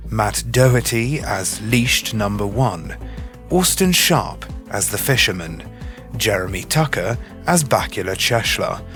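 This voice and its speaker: Slow, low-voiced man reading credits over music. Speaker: credits announcer. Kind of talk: scripted closing credits read. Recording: loud music under voice.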